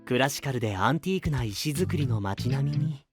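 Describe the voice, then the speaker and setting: A man's voice: mid-range voice, animated, a little fast, over loud music, lightly acted. A man playing an artistic knight with theatrical, admiring, poetic phrasing in an anime-style audio drama. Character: artistic, flowery, smooth-talking male knight singer.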